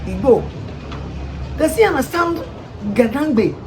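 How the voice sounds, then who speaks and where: A woman's voice: high-pitched, lively intonation, slow pace. A woman with a Ghanaian accent preaching, firing off repeated rhetorical questions and laughing between them. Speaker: street preacher. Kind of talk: preaching to listeners. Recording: noisy ambient background.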